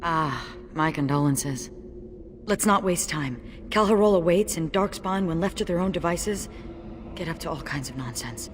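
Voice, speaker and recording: A woman's voice: deep voice, expressive, moderate pace, noisy background. An actress playing a brave, wry dwarf warrior woman in a video game, speaking in an understated, matter-of-fact way. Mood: surprised, wry. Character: brave wry female dwarf warrior, sole survivor of her legion.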